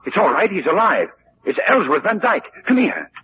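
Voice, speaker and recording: Composed radio sleuth: lowish, hugely varied pitch, clipped, commanding, muffled vintage recording. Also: male voice, wildly swooping pitch, normal speed. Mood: urgent. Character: composed, commanding amateur detective, shrewd man. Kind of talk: 1940s radio mystery acting. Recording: dull old radio recording, faint noise.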